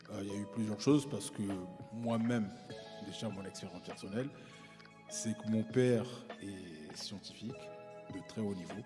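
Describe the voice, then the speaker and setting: A man's voice: on the low side, normal speed, big-room sound, over music. A man guest recounting his schooling in conversation, hesitant, with many fillers and restarts. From France, parents from Congo-Brazzaville. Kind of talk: personal storytelling in conversation.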